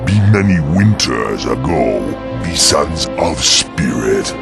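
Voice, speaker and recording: A man's voice: very deep voice, very animated, slow pace, full character voice. An actor voicing an exiled orc shaman in a video game, solemn and earnest, speaking broken pidgin in short clipped phrases. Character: exiled male orc shaman, grateful, solemn, primitive warrior. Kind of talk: video game character dialogue. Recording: loud music under the voice. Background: game music.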